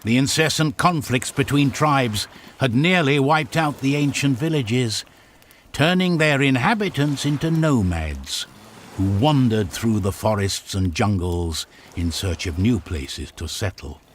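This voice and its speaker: A man narrating a video game story in a measured, dramatic storyteller's manner, with music behind him. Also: mid-range voice, lively intonation, slight background noise. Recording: music under the voice.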